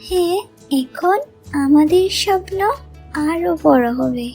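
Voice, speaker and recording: A very high-pitched, childlike little wife bird from a sentimental cartoon, voiced by a woman. She is loving yet possessive and tight-fisted, and music plays clearly behind her. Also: slow, dark tone, full character voice. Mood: happy. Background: music and birdsong.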